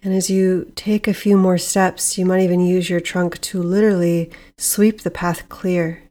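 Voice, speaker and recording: A woman leading a guided meditation as host, speaking in a soothing, measured way with long pauses. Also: lowish voice, flat delivery, mellow.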